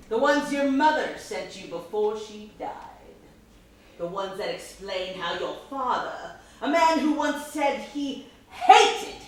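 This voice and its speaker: Woman playing blunt chatty know it all, highish voice, big echo, far from mic. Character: blunt know-it-all radio performer with perfect recall. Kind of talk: stage acting. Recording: very echoey hall, distant mic, faint noise.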